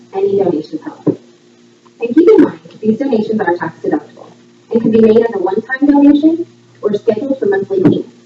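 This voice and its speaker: A woman reading a scripted voice-over like a playful quiz presenter, setting out each line clearly. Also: warm tone, slight background noise. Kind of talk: scripted voice-over narration, trivia quiz for a fundraiser. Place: voice audio played back in a room during filming. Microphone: far camera picking up played-back audio. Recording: echoey, distant, muffled low-quality playback.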